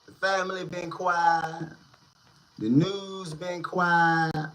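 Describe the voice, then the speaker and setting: A man hosting a casual street-news commentary channel, talking in slang with drawn-out, emphatic delivery. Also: high voice, fairly even intonation, fairly bright tone.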